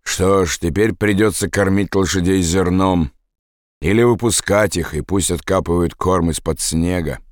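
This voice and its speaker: A man voicing a tired, terse soldier in an audiobook, replying curtly and calmly. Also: low-pitched, moderate pace, no echo, studio quality, natural voice. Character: weary foreign soldier, terse and calm.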